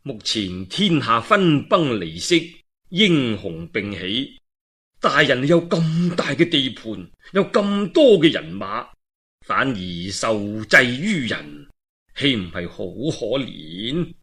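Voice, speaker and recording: A man's voice: medium pitch, big pitch swings, slow, fairly bright tone, slightly rough, pitched below the performer's own voice, full character voice. A man with a Cantonese accent voicing a grave, scheming male counsellor, weighty and persuasive. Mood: grave, goading.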